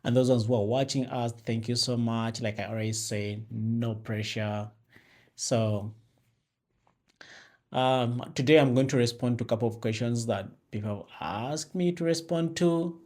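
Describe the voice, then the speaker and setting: A man's voice: mid-range voice, a little slow, a little mellow. Ugandan man chatting casually to camera. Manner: rambling, many fillers and restarts. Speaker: vlogger host. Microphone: external mic with phone camera.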